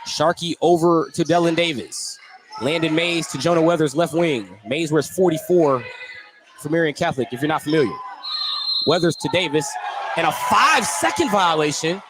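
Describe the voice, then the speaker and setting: A man's voice: on the high side, normal speed. A man calling live basketball play-by-play for radio, describing each move in detail. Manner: detailed, descriptive calling, shouting on big plays. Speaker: play-by-play radio sports commentator. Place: indoor basketball gym, courtside broadcast. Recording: loud gym noise behind commentary. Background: loud ambient game noise.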